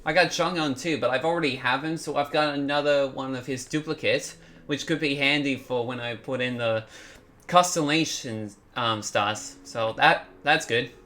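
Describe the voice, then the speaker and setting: A man hosting a gaming video, giving casual, halting commentary as he thinks aloud. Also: mid-range voice, pushed and bright.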